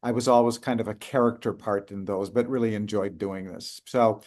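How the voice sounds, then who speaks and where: An older man answering interview questions about co-writing a musical, speaking haltingly with many fillers and restarts. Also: lowish voice, fairly even intonation, darkish tone. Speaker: guest, co-writer of a musical.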